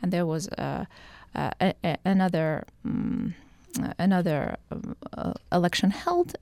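Creaky-voiced woman from Ukraine. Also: on the low side, unhurried, darkish tone, dry recording, studio quality. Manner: thoughtful, many filler words and restarts. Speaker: political science professor, guest. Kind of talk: radio interview answers.

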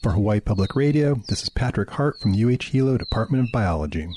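A man with a lowish voice reads a scripted science segment for radio. He sounds calm and informative, and there is a faint hiss of noise behind him. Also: fast talker. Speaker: biology researcher giving a radio segment.

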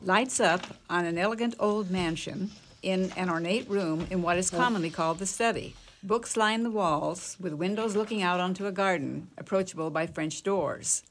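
A woman's voice: lowish voice, normal speed, a little hiss or hum. A woman narrator reading the scene descriptions and stage directions of a radio play.

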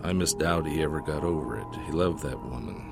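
Man reading as a folksy, very deep-voiced small-town skeptic. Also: a little fast, creaky, over music. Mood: musing. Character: skeptical small-town man, plain-spoken neighbor and card player.

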